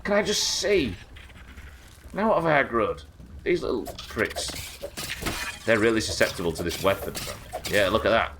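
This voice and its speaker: Low croaky british guy streaming games, chats with chat, swears a lot. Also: expressive, normal speed, pauses often, noisy background. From United Kingdom. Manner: chatty, swears, reads chat aloud. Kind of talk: casual gameplay chat.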